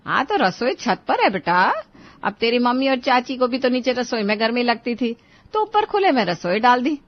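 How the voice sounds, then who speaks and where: A woman voicing a bossy grandmother who coaxes and scolds in an animated moral story. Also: fairly high pitch, normal speed, no echo, muffled recording. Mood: coaxing. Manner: coaxing and scolding by turns.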